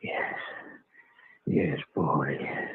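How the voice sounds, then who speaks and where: An actor playing a weak, remorseful old grandfather in a vintage radio drama, speaking haltingly in a frail voice. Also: no room sound. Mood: regretful, frail. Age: older. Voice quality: frail, husky voice. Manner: halting, faltering, repeats words. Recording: muffled, tinny vintage radio recording.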